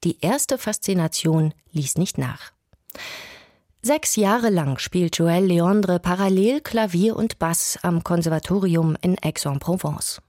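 Low-voiced woman narrating a documentary. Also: expressive, a little slow, warm tone, no room sound, studio quality. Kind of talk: scripted radio documentary narration.

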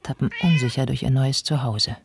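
A man giving a scripted radio play narration in a measured, deliberate, descriptive manner. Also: medium pitch, fairly even intonation, vocal fry, noisy background.

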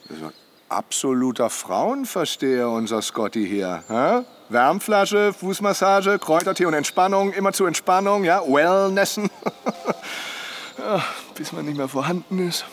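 An actor playing a boisterous, jovial middle-aged husband in a naturalistic radio drama, garrulous and bantering. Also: male voice, a little high, expressive, moderate pace, natural voice. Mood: teasing, swaggering.

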